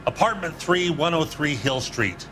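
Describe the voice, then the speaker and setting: An actor playing a slick, evasive 1940s American used-car dealer in a crime game, with smooth, defensive salesman patter. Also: on the high side, animated, unhurried, pushed and bright, faint music behind. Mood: defensive, grudging. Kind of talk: dramatic game acting, period crime story.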